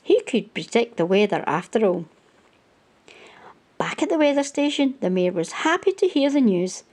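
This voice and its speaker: A woman reading a children's picture book aloud as a storytime host, with expressive storyteller phrasing. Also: a little high, moderate pace.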